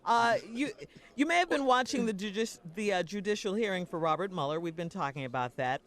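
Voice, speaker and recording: Animated woman moderating morning radio banter. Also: medium pitch, brisk. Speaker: radio show co-host acting as moderator of a mock hearing.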